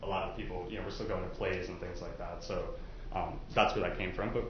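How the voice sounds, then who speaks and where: A low-voiced man works through courtroom questioning in a loose, conversational style, hedging and restarting as he explains. The room is echoey, the sound is slightly dull, and there is faint noise behind him. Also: fairly even intonation. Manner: halting, conversational, hedging with "I guess" and "you know". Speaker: lawyer questioning prospective jurors. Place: indoor courtroom.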